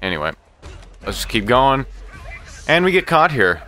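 A man hosting a casual let's play, talking to himself and the game in an excitable, profane way. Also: mid-range voice, moderate pace, faint music behind. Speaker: gaming channel host.

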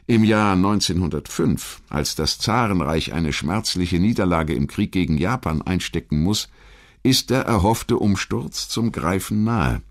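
Ein Mann, der als Erzähler einen vorbereiteten historischen Text vorträgt. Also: male voice, low-pitched, expressive, unhurried. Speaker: documentary narrator. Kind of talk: scripted historical narration.

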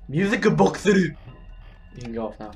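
Higher-pitched young man coaching a gamer. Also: sing-song, normal speed, a little mellow, soft background music. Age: young adult. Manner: playful, instructing in repeated short phrases. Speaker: gaming channel host coaching a player. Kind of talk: casual gaming chat and coaching.

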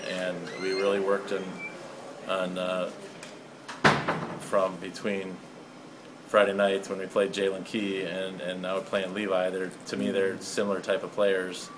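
A man in his forties, a high school basketball coach, answering postgame questions in a measured, halting way with many fillers, recorded up close on a phone in a school hallway. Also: monotone, noisy background. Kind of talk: postgame interview.

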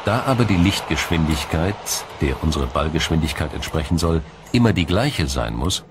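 A man narrating a scripted documentary in a measured, explanatory storytelling manner. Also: very deep voice, lively intonation, noisy background. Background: music.

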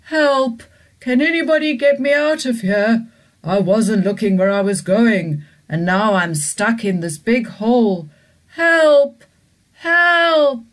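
A woman voicing a big friendly male Tyrannosaurus trapped in a hole, crying out loudly for help in a high voice. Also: animated, takes it slowly. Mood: pleading. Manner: high, loud cries for help.

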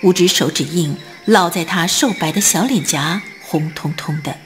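A woman narrating a radio drama as scripted storytelling, with expressive, deliberate phrasing. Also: on the low side, faint music behind.

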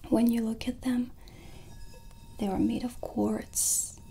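A woman in her thirties whispering breathily and gently into a close microphone, with long pauses, as an ASMR witch shopkeeper. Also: fairly high pitch, flat delivery, gravelly. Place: small dark candlelit indoor set. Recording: background ambience noise.

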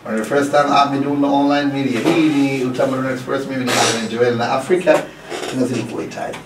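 A man's voice: mid-range voice, fairly even intonation, fast talker. East African male host, roomy sound. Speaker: radio host, interviewer. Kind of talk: casual radio interview. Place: small radio studio. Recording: roomy, distant, faint room noise.

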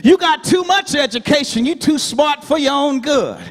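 A man's voice: extremely high pitch, lively intonation, moderate pace, a bit bright. An American man in his thirties preaching a fervent Baptist sermon with an emphatic, repetitive cadence into a handheld microphone. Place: large church sanctuary, indoors. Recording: reverberant hall, amplified PA.

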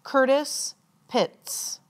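A woman reads out names as a commencement announcer, in a formal ceremonial style with measured, deliberate enunciation and pauses.

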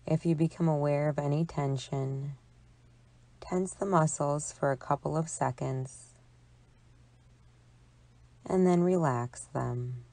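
A woman with a low voice guides a relaxation meditation at a very slow pace. Her delivery is calm and soothing, measured out with long pauses. The recording is dry and close, with little room sound. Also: dry recording. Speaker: psychologist leading guided imagery.

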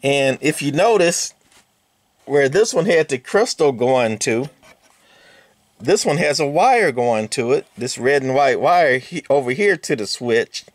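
A man's voice: medium pitch, expressive, a little slow, no room sound. A man giving a casual hands-on walkthrough of a radio's insides at a workbench, explaining in an easygoing way with many fillers and now and then talking to the parts. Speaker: radio repair hobbyist host. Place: workbench, indoors.

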